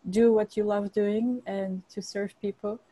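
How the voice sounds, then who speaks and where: A woman's voice: mid-range voice, little pitch movement, measured pace, mellow, poor audio. A woman from Bulgaria, a chef and cookbook author, answering interview questions earnestly with frequent restarts.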